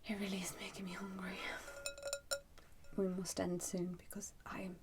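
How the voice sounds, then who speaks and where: A woman doing an ASMR roleplay as a shopkeeper, whispering breathily and gently reassuring the listener as she narrates each step. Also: mid-range voice, monotone, normal speed, heavy background noise. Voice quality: breathy, mostly unvoiced whisper. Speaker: ASMR roleplay performer playing a soup shop keeper.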